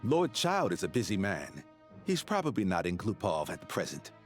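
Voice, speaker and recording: A low, expressive male gatekeeper from a video game. He is officious and put-upon, reciting procedure in formal, curt phrases. Faint music plays behind him. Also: normal speed. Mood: dismissive, formal. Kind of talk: video game character acting.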